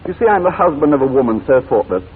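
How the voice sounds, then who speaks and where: A suave, wicked blackmailer played by a man from an old radio play. He talks quickly and formally, his pitch sliding about with mocking courtesy and menace. The recording is noisy and tinny. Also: sing-song, natural voice. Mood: coolly threatening. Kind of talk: 1940s radio drama acting.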